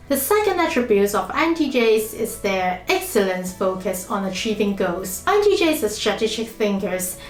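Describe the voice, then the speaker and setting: A woman's voice: fairly high pitch, echoey room. A woman from Hong Kong giving an earnest, emphatic scripted explainer as a language-learning vlogger. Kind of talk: scripted explainer talk to camera.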